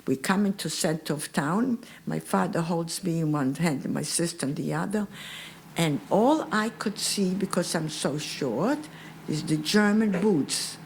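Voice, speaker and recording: An older woman whose first language is Polish, telling her own wartime story to an audience in halting, slightly broken English and repeating words as she goes. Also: on the low side, a little mellow, slight background noise, poor audio. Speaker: Holocaust survivor speaker. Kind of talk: personal testimony to an audience.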